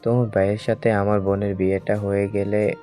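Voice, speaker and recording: Guy acting young boyfriend in a romance audio story, deep mellow voice, earnest chatty delivery. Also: flat delivery, fairly quick, soft background music, lightly acted. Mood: impatient, hopeful. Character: young man, eager secret boyfriend on the phone. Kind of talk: dramatised audio story dialogue.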